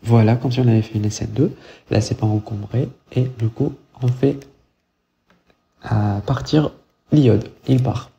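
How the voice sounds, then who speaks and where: Male chemistry teacher, middle-aged, very dark mellow voice, muffled lo-fi recording, casual lecturing with frequent check-ins. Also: mid-range voice, fairly even intonation, a little slow, creaky, pauses often. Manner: thinks aloud with many fillers and restarts, checks in with "ok?". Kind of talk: whiteboard lecture. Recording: muffled lo-fi sound with faint hiss.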